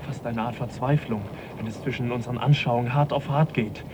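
An actor playing an earnest, courteous young gentleman naturalist in a radio drama, questioning and polite yet persistent. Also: mid-range voice, fairly even intonation, fairly quick, background noise, lightly acted. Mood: troubled, reflective.

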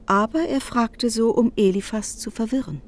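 A woman's voice: fairly high pitch, moderate pace. A woman narrating a literary radio drama, expressively retelling another person's speech with some irony.

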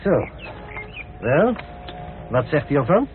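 A man's voice: fairly high pitch, very animated, slow. An actor playing an eccentric, hospitable male house owner in a radio play, chatty, courteous and effusive. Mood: eager, hospitable. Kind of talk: Dutch radio drama acting. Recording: tinny, noisy old radio recording.

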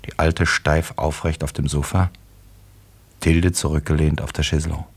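A man narrating a literary radio play in a measured, wry and detached manner. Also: level tone, full character voice. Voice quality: very deep, husky.

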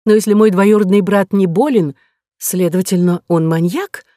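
A woman's voice: fairly low pitch, expressive, a little fast, mellow, no room sound, clean studio sound, lightly acted. A woman voicing a proud, hot-tempered young noblewoman who asks pointed questions in an audiobook novel. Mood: indignant.

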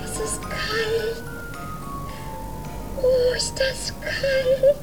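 A woman playing a small, pleading child creature inside an egg in a children's radio drama, with a childlike voice and a slow, plaintive way of talking. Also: steady tone, music in the background, exaggerated voice, poor audio. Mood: plaintive, desperate. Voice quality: very high, childlike.

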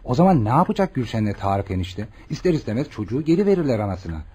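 An actor playing an earnest, caring young male doctor in a Turkish radio play, with an expressive, questioning manner. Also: medium pitch, quick pace, husky. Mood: incredulous, probing. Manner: expressive, probing, reassuring. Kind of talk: Turkish radio theatre acting.

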